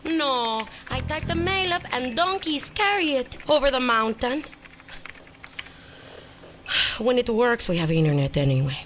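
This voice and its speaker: Brash young female hacker, lively highish voice, teasing, blunt, light music, audio drama. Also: normal speed. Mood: sarcastic, teasing.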